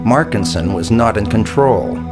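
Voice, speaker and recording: An actor playing a defensive, businesslike intelligence official in a video game cutscene, speaking formally and with measure. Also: male voice, lowish voice, animated, normal speed, over loud music, close to an everyday voice.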